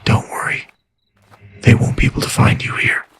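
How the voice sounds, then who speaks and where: A man playing a mysterious, comforting ghostly protector in an audio drama, hushed and eerie under heavy distortion. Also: moderate pace, slight background noise. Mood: hushed reassurance. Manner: reassuring, hushed.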